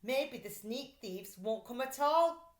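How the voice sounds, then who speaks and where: Woman playing a plucky, high-voiced young boy. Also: expressive, slow. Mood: quietly thinking. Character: plucky young boy, secretly watchful and indignant. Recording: roomy echo.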